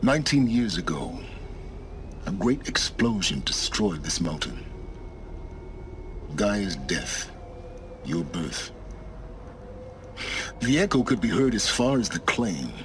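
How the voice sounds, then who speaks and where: A deep, cerebral middle-aged scholar from a video game, cold and secretive. His formal, grandiose phrasing swings widely in pitch, and clear ambient noise sits behind him. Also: very animated, takes it slowly, pauses often. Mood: grandiose, brooding. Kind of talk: dramatic video game dialogue.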